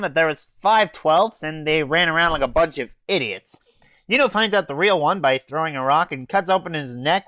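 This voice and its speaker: A man's voice: on the high side, pushed and bright, no echo. A teenage boy casually recapping an anime episode in an offhand, hesitant way with fillers and swearing. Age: teens. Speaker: anime reviewer.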